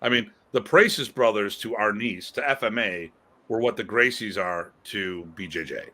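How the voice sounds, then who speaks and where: A man co-hosting a podcast in casual conversation, talking in an animated, emphatic way with casual swearing. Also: on the low side, normal speed. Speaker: podcast co-host.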